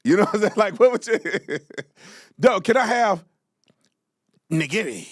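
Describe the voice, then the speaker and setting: Animated mocking male commentary host. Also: sits high, unhurried, no echo, studio quality. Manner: mocking, exclaims loudly, slangy.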